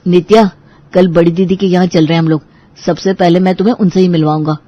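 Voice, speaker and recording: A woman's voice: lowish voice, level tone, fast talker, no room sound, muffled recording, lightly acted. A woman voicing an upbeat young fiancé in an audio story, eager to impress.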